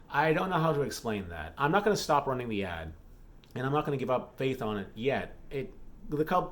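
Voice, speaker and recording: A man talking casually in a solo vlog update as an author, rambling and thinking aloud as he goes. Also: mid-range voice. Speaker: author and vlogger. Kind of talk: casual chat, business update monologue.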